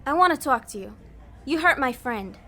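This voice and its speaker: A woman playing a scheming, bossy female agent of Hell in a comedic audio drama, brisk, persuasive and easily exasperated. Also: high-pitched, lively intonation, sharp tone, heavily acted. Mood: manipulative.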